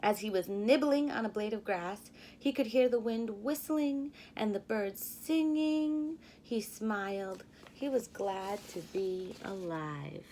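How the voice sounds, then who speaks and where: A woman in her thirties reading a children's storybook aloud like a teacher, expressively, pausing to explain and ask questions. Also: medium pitch, warm tone, a little hiss or hum, rough recording.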